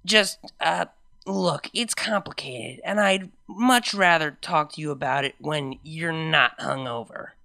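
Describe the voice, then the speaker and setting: A woman's voice: deep voice, measured pace, vocal fry, bright, forceful tone, dry recording, studio quality, heavily acted. A woman voicing a young female pony DJ, casual and laid-back, her speech turning evasive. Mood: teasing, uneasy.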